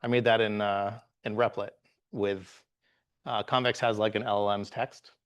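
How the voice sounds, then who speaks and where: A man in his forties, from the Bahamas, giving a live tech meetup talk as a guest presenter, relaxed and halting with frequent "uh"s and restarts. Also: lowish voice, clear, resonant tone, lo-fi sound. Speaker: guest presenter, software engineer.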